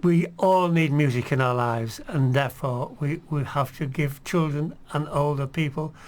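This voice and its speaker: A man's voice: on the high side, normal speed, a little mellow. A man who sings in a choir, speaking as a guest in a radio studio, earnest and encouraging. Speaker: choir member.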